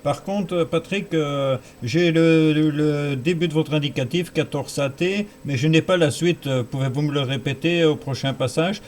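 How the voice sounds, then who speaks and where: A man's voice: on the high side, moderate pace, hardly any pauses, darkish tone. A man with a Belgian French accent talks casually as a radio operator, often repeating words for emphasis. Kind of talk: casual two-way radio chat.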